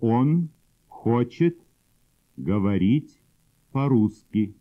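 Male drill voice, painfully slow and clear, lo-fi phone-like cassette. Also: mid-range voice, expressive. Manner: very slow and deliberate, word by word. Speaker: language instructor. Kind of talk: slow drill sentences for repetition. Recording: husky, phone-like old cassette audio.

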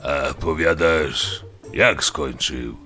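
A man playing a gruff, impatient, burly dwarf thief in an audio drama, with a rough voice and surly rustic dialect. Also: very deep voice, extremely slow, sharp tone, soft background music, heavily acted. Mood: dismissive, curious.

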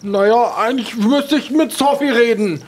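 A man's voice: very high voice, moderate pace. A man voicing a nervous, reluctant young working-class fellow in an improvised audio drama, blunt and hesitant. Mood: wary, interested.

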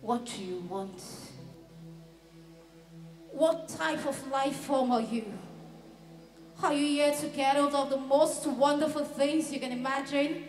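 An actress playing a serene, cryptic being from another world in a solemn dramatic monologue, with deliberate, portentous pauses. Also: female voice, high voice. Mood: solemn, probing. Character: serene otherworldly female being, cryptic, questioning humanity. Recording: roomy echo with faint music behind.

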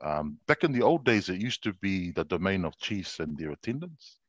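Male guest, very deep voice, relaxed chat, dry muffled video-call sound. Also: moderate pace, no echo. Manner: relaxed, with some fillers.

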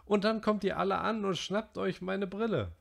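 A man's voice: moderate pace, vocal fry. A man doing Piggy, a whiny fretful schoolboy. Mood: indignant. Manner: high, whiny and breathless pleading. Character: chubby anxious schoolboy, fretful and indignant.

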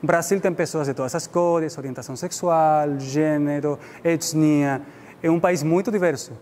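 A man's voice: on the high side, steady tone, moderate pace, darkish tone. A middle-aged man from Spain with a Spanish accent, giving an informal, explanatory public lecture in a language that is not his native one. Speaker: guest lecturer. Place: indoor lecture room. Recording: reverberant hall with background noise.

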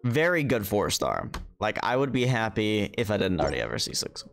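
A man's voice: on the low side, big pitch swings, brisk, creaky. A tired man giving casual livestream commentary on a game, laid-back, with sarcastic asides and mild swearing. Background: game music.

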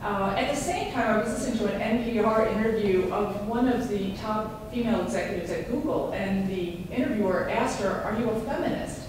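A woman's voice: mid-range voice, fairly quick, few pauses. Hesitant woman asking from the audience. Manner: hesitant, many fillers, rambling. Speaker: audience member asking a question. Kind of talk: audience question at a talk. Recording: echoey room, distant handheld mic, noise.

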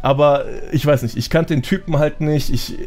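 A man's voice: a little high, a little fast, darkish tone. A young man as a podcast guest, telling anecdotes in a casual, slangy way. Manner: slangy, chatty.

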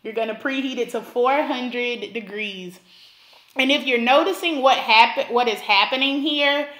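A woman's voice: on the high side, pushed and bright, roomy sound, rough recording. A woman from Alabama hosting a cooking tutorial, talking the viewer through each step and repeating points for emphasis. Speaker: cooking channel host.

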